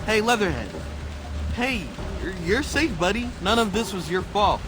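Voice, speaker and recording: A man's voice: high-pitched, lively intonation, moderate pace, music in the background. A man voicing an earnest, nerdy teenage mutant turtle in a cartoon video game, chatty and wordy.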